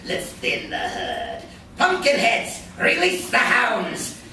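A gleefully menacing supervillain in a live radio play. The delivery is slow and theatrical, leaping across the range. It is heard from far off in an echoing hall. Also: mid-range voice, wildly swooping pitch, sharp tone, exaggerated voice. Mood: gleeful menace. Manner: theatrical, drawn out, cackling. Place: hotel conference room before an audience. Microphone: far camera in audience. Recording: echoey hotel hall from far off, noisy.

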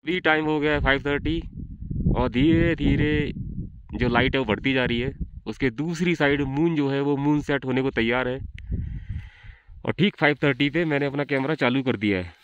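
A man's voice: medium pitch, normal speed, smooth, no echo, dull audio. A young man vlogging casually outdoors on a mountain, chatting straight to his viewers. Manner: chatty, addresses viewers directly. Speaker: travel vlogger. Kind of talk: casual vlog narration.

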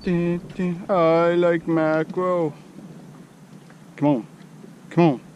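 A man's voice: a little high, steady tone, slow, highs cut off. A man filming himself fishing from a small boat outdoors, giving playful, exclaiming commentary and humming to himself. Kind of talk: casual running commentary. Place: small motorboat on a lake, outdoors. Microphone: action camera on the boat. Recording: loud wind buffeting the mic. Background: wind and boat noise.

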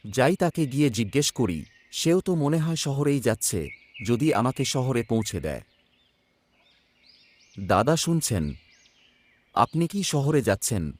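A man's voice: mid-range voice, very animated, a little slow, long pauses, darkish tone. A man playing a poor, worried young village son, narrating his hardship in a scripted cartoon story. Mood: anxious, weary. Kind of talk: first-person scripted horror cartoon narration.

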